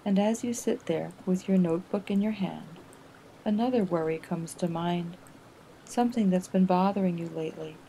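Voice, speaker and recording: A woman narrating a guided relaxation exercise in a gentle, soothing manner, with unhurried phrasing and pauses. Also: lowish voice, creaky, slight background noise, poor audio.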